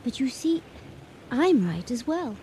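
A woman's voice: high voice, big pitch swings, unhurried, dark tone, full character voice. An actress playing a lovestruck, earnest young female student in a radio drama, wistful and sincere. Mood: earnest, resigned. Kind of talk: 1980s radio drama acting. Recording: noisy vintage radio drama recording.

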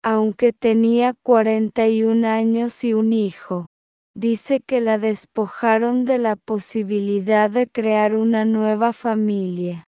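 A woman reading a text aloud phrase by phrase, then repeating each phrase slowly and calling out the punctuation marks. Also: on the high side, little pitch movement, smooth, no room sound, muffled recording.

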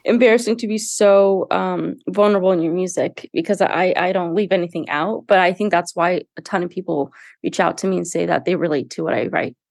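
A woman's voice: on the low side, brisk, gravelly, darkish tone, no echo. A young woman, a singer-songwriter, talking earnestly and casually about her music in an interview, with frequent fillers and restarts. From United States. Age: young adult.

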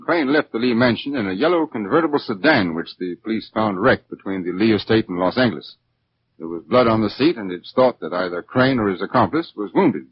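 An actor playing a formal, deliberate, businesslike gentleman in a 1940s radio drama. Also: lowish voice, lively intonation, natural voice. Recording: muffled, noisy 1940s radio recording.

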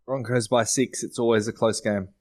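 A man's voice: medium pitch, little pitch movement, moderate pace, a little mellow. A man giving casual fantasy sport advice as a podcast panellist.

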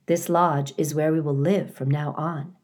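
A woman's voice: low voice, steady tone, a little slow, darkish tone. A woman voicing a friendly, polite female robot mother in a storybook, with formal, plain phrasing. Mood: polite, earnest.

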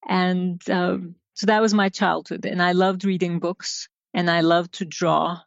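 A middle-aged woman from Turkey, a designer, telling stories from her childhood in an interview, unhurried and reflective with many pauses. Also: fairly low pitch, little pitch movement, creaky, no room sound. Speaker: guest designer. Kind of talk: casual interview storytelling. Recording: dull lo-fi sound.

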